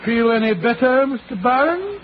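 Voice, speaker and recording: Detective's earnest gentleman sidekick, bluff, high voice, noisy old radio recording. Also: animated, normal speed, narrow, tinny audio. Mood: inquiring. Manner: bluff, exclaiming, old-fashioned. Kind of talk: 1940s radio detective drama acting.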